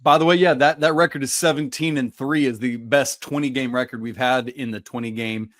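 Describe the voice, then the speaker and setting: A man's voice: medium pitch, steady tone, fairly quick, fairly bright tone. A blunt, informal man debating sports casually as a talk show panelist.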